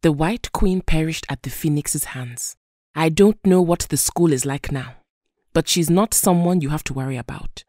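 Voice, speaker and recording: A woman playing a calm, motherly heroine in an audio drama, soothing and measured, firm but kind. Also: sits low, no room sound, clean studio sound. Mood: firm, reassuring.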